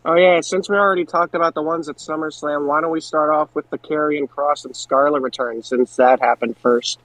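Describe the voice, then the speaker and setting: A man's voice: fairly high pitch, fairly even intonation, fairly quick, fairly bright tone, no echo, rough recording. A man co-hosting a podcast in casual conversation. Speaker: podcast co-host. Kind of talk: casual chat.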